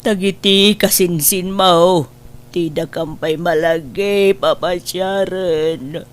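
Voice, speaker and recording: A woman's voice: on the low side, steady tone, slow. A woman voicing a poor, frail elderly aunt who sighs and quavers with gratitude. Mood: emotional. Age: older. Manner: quavering, sighing "ay na" often. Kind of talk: audio drama acting.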